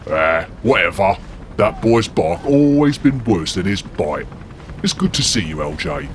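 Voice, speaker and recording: A laddish demigod warrior, played by a man, trades crude, blunt banter. His voice leaps around as he mocks. Steady background noise runs under it. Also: lowish voice, measured pace, pitched below the performer's own voice. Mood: cheery.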